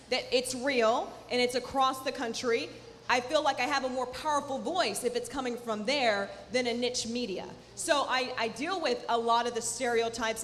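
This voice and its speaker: A woman's voice: fairly high pitch, fairly bright tone. A woman journalist speaking personally and conversationally as a panelist. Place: event hall panel. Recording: reverberant hall with light background noise.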